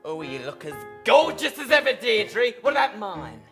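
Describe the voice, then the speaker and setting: A woman's voice: medium pitch, big pitch swings, normal speed, fairly bright tone, soft background music. A woman playing a vain, bullying stepsister in an improvised pantomime, with a haughty, mocking, theatrical delivery. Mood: preening.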